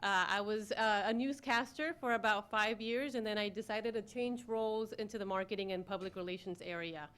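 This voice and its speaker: A woman's voice: mid-range voice, fairly even intonation, brisk, few pauses, a bit bright, clean tone. A middle-aged woman from Ecuador and Florida, giving a self-introduction speech at a public meeting. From born in Ecuador, raised in Florida. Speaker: newly hired city communications director, former newscaster. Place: city commission meeting room.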